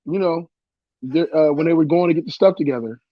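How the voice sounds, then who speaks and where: A man's voice: on the high side, a little fast, dry recording, highs cut off. A man co-hosting a casual podcast, a bit congested from a cold, with a playful, teasing way of talking. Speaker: podcast co-host. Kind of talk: casual chat.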